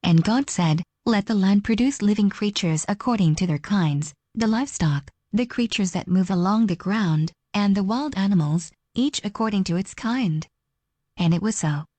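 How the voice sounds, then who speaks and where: Mellow woman reading scripture aloud. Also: medium pitch, animated, normal speed, no room sound. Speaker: reader.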